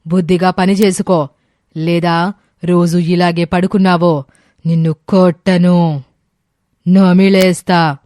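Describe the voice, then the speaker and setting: A woman voicing a stern demoness who scolds and threatens in a commanding manner for an animated moral story. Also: on the low side, slow, long pauses, dry recording, clean studio sound. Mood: stern, threatening. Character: stern, threatening brahma demoness punishing a lazy man.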